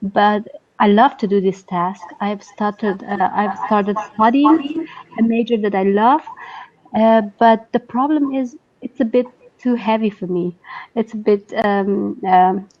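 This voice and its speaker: A woman from Afghanistan speaking as a caller on an audio-only line, conversational and hesitant, pausing between phrases. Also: mid-range voice. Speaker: caller, student and mother. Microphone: audio-only internet call. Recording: dull, lo-fi call audio.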